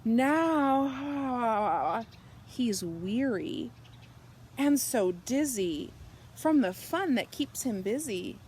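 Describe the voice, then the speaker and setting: A woman reading a picture book aloud to young children, expressive and animated, drawing out her words and softening for bedtime lines. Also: on the high side, a little hiss or hum. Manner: slow, animated delivery with drawn-out words and hushed, whispered good nights. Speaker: children's storybook reader.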